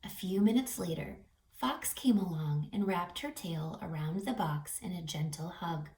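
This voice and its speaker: A woman reading a picture book aloud for children, animated, pausing to ask questions and dropping to hushed tones. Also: on the low side. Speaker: read-aloud storyteller for children. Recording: roomy echo, distant mic, music behind.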